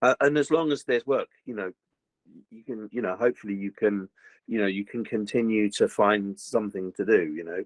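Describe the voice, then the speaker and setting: An older man, a voice actor chatting in an interview, slightly gruff, rambling with many fillers and restarts. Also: fairly low pitch, moderate pace, dry recording, rough recording. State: slightly gruff after a recent virus. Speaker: voice actor guest. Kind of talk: casual interview chat.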